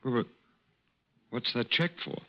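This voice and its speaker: An actor playing a courtly, sentimental middle-aged con artist who poses as a Major, in 1940s radio style. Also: male voice, measured pace, bright, forceful tone. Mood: flattering, suave. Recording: muffled old-time radio recording.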